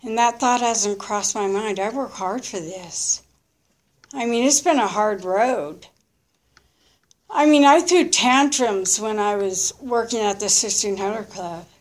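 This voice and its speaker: Slow-paced woman in her early fifties. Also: mid-range voice. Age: early 50s. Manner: halting, reflective, speaks in short confessional phrases. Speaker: recovering alcoholic sharing her story. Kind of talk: candid personal testimony, unscripted monologue.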